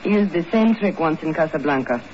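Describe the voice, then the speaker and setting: An actress playing a sly, bold adventuress in a 1950s radio drama, speaking in a theatrical, insinuating way. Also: female voice, low voice, animated, brisk. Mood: scheming. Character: sly, bold female adventuress and rival dealer. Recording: noisy, muffled old radio recording.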